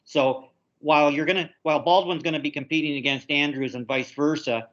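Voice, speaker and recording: A man speaking as an instructor on a conference call, giving informal step-by-step advice and often doubling back on his words in a halting way. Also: fairly high pitch, steady tone, fairly bright tone. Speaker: university instructor. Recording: slightly dull conference-call audio.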